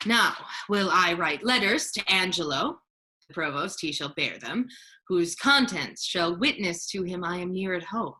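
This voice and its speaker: Woman playing a commanding friar, bright forceful voice. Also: on the low side, lively intonation, a little slow. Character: scheming duke disguised as a holy friar, male, authoritative. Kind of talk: staged reading of Shakespearean verse.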